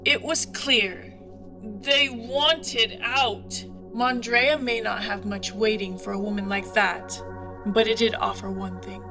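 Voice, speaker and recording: An actress plays a streetwise young woman who flies an airship, tough and protective of her own. She speaks in audio drama dialogue, with a defiant edge. Clearly audible music runs underneath. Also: a little high, steady tone, slow pace. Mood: resolute, confiding.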